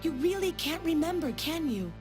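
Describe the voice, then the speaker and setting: A woman's voice: extremely high pitch, animated, normal speed. Grave, sorrowful woman scientist, music behind. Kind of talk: dramatic video game voice acting.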